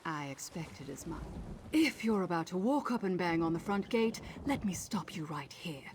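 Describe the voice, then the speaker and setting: A tough seafaring mercenary woman speaks in a low voice with dry sardonic bite. She is vengeful and determined, in video game dialogue over faint ambience. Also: lively intonation, moderate pace, darkish tone, slight background noise.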